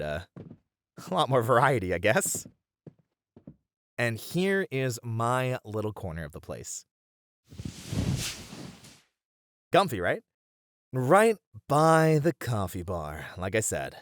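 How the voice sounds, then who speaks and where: A man playing a friendly young bunny boy, a chatty and welcoming manga cafe regular, in a casual ASMR roleplay. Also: lowish voice. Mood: friendly, inviting. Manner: chatty, easygoing, welcoming, with casual slangy hesitations.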